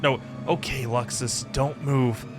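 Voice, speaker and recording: A man's voice: mid-range voice, steady tone, takes it slowly, over music, voice pushed up, close to an everyday voice. A man voicing a sulky, sarcastic satyr slacker from a visual novel game, whiny and dramatic. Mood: anxious self-soothing. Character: sulky sarcastic male satyr, lazy hard-drinking slacker.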